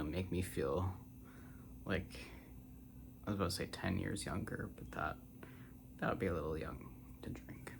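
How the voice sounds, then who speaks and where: A man in his twenties rambling casually in a soft-spoken ASMR style, drifting between a breathy murmur and a half-whisper. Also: low-pitched, background noise. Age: 20s. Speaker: ASMR creator.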